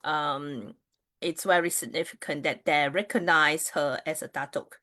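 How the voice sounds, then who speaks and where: A woman's voice: deep voice, measured pace, pushed and bright. A woman from Malaysia whose first language is Mandarin Chinese, hosting a casual livestream and chatting with viewers, with many fillers and restarts. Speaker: livestream host, Chinese astrology reader.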